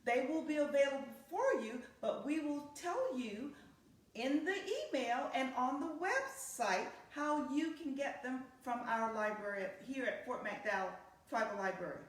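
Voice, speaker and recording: A woman hosting story time for young children, speaking to them directly in an animated, sing-song way. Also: high voice, measured pace, distant mic. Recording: very echoey, reverberant room sound.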